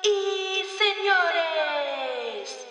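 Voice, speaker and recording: A woman voicing a bombastic wrestling ring announcer for a picture book, with drawn-out, sing-song showman calls. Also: extremely high pitch, lively intonation, voice pushed up, heavily acted. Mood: grandly hyping. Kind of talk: picture-book read-aloud. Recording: reverberant hall, distant, faint music.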